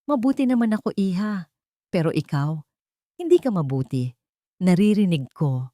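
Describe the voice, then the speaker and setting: A woman's voice: fairly low pitch, sing-song, moderate pace, dark tone, no room sound, clean studio sound. A woman voicing a kindly, worried elderly aunt from the province in an audio story, earnest and concerned. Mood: worried, reassuring.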